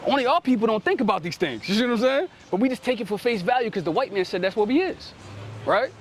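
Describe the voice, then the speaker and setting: Fast-talking high-voiced street preacher man. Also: expressive. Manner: ends almost every sentence with "right?"; commanding, calls on the reader to read. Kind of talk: street teaching. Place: outdoors in a city.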